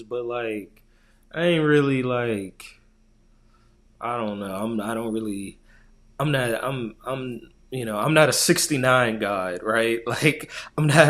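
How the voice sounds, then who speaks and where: A man's voice: medium pitch, fairly bright tone. A man co-hosting a podcast in casual chat, talking in a slangy, joking, rambling way. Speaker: podcast co-host.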